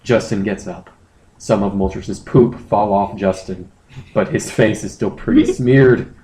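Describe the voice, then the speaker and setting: A man's voice: on the low side, a little slow, warm tone. Amused man narrating in a roomy space. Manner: amused, mock-serious. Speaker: podcast narrator. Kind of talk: comedic dramatic story reading.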